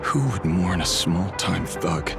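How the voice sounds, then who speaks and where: A man's voice: low-pitched, takes it slowly, creaky, exaggerated voice. A man playing a world-weary, bitter detective in a video game, brooding and terse. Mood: grieving. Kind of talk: dramatic video game acting. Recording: background music.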